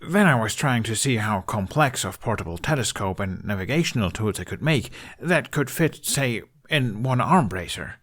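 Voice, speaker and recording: A man voicing an awkward young prince who tinkers, hesitant and deadpan, in a story reading. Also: fairly low pitch, moderate pace, few pauses, husky. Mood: enthusiastic, halting.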